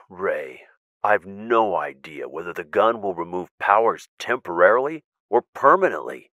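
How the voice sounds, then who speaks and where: An actor playing a cautious, principled weapon inventor in an audio drama, measured and technical, emphatic when he objects. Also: low-pitched, very animated, sharp tone, no room sound, dull audio, exaggerated voice. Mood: worried, frustrated.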